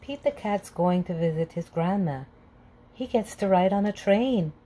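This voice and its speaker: Woman storyteller, very unhurried, soft hiss faintly behind. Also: medium pitch, animated. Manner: slow, clear, gentle read-aloud delivery. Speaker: children's book reader. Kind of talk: read-aloud storytelling.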